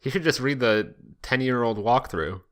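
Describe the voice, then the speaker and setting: A man's voice: mid-range voice, normal speed, a bit bright. A man co-hosting a podcast, reading aloud casually and joking crudely with an amused delivery. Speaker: podcast co-host. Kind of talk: casual comedic reading with banter.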